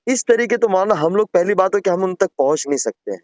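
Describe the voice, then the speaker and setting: A man speaks in a somewhat high voice, earnestly and respectfully making his case. He is a caller in a private conversation. The sound is a dry, muffled recorded phone call. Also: lively intonation, quick pace, darkish tone, no echo. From Gujarat, India. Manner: deferential, earnest, repeatedly addressing the listener respectfully.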